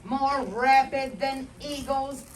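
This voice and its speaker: A woman reading a story aloud to children in an expressive, dramatic way, adding simple explanatory asides. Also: sits high, slow, recorded from a distance, a little hiss or hum.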